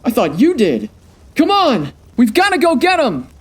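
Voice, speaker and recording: High, wildly animated greedy villain. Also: male voice, unhurried, slightly rough, full character voice. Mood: frantic, greedy. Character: greedy, gloating male villain, a rabble-rouser. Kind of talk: old-time radio villain acting.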